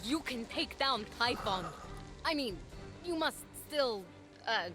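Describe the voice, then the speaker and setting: An actress voicing a plucky, cheeky young mortal heroine, eager, coaxing and teasing, in a video game cutscene. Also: female voice, on the high side, animated, slow pace, music in the background. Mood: incredulous, urging.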